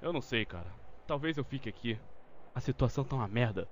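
A man's voice: medium pitch, expressive, fast talker, lo-fi sound, close to an everyday voice. A man playing a weary, defensive young gangster back home with his brother, slangy and downbeat, in a game fan dub with some noise.

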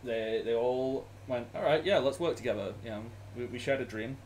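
Man, game master, headset mic, rambling, quick laughs, faint background hiss. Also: mid-range voice, darkish tone, rough recording. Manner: laughs often, rambling with fillers. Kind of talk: running a tabletop game over a video call. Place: small room at home.

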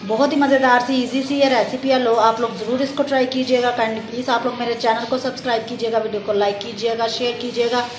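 A woman's voice: a little high, fairly even intonation, quick pace, hardly any pauses, echoey room, a little hiss or hum, poor audio. A woman hosting a home cooking channel, chatting politely through a recipe step by step and peppering her talk with blessings, recorded on a phone in her kitchen. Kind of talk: casual step-by-step recipe narration.

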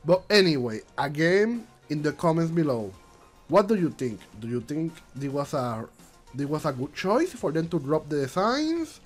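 Male vlog host, congested and stuffy, high lively voice, faint music under him, rambling non-native English. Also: moderate pace. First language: not English. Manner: rambling, repeats himself, frequent restarts. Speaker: channel host. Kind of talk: casual commentary vlog.

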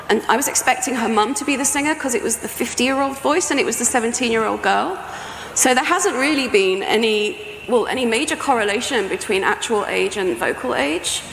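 A woman's voice: a little high, moderate pace, gravelly, a bit bright. A woman in her forties giving an informal, anecdotal talk as a conference speaker. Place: indoor talk stage with projection screen. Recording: echoey hall with faint background noise.

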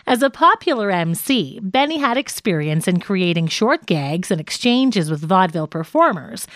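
A woman narrating a scripted nonfiction audiobook in a measured, articulate way. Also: a little high, no echo, studio quality.

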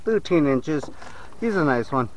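Male fishing vlogger, slightly higher voice, chatty and excitable, recorded outdoors on a lake on a small camera. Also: a little slow, rough recording. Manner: excitable, talks to viewers as "you guys". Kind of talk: casual on-water fishing commentary.

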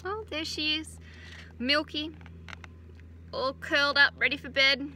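An Australian woman in her thirties, a teacher gently reading a picture book aloud to children outdoors on a farm, adding chatty asides. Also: sits high, fairly even intonation, unhurried, a little hiss or hum. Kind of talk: children's story time read-aloud. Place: outdoors on a farm beside a utility vehicle. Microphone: phone camera held close.

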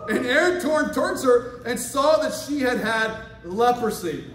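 A man preaching a lively sermon, swinging from quiet asides to shouted exhortation and acting out quoted voices. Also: very high voice, measured pace, soft background music. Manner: acts out quoted voices for laughs. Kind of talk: lively sermon with congregation responses. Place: large church hall. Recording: echoey hall, far from mic, congregation. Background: congregation responding.

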